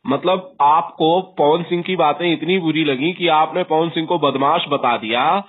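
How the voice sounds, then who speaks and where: Higher-voiced male interviewer on phone line. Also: a little slow, slightly rough. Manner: formal, pressing questions. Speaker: news interviewer. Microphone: phone call. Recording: thin phone-like sound.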